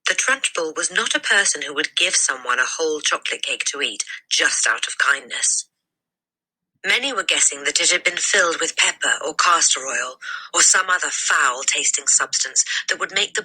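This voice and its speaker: A woman reading a children's story aloud as narrator, in an expressive storytelling style. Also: low-pitched, normal speed, breathy edge, rough recording. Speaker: storybook reader.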